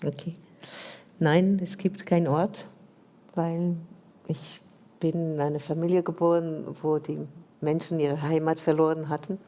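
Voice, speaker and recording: A woman whose first language is French, speaking German as a panel guest in a calm, reflective way. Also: low voice, unhurried. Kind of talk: panel discussion.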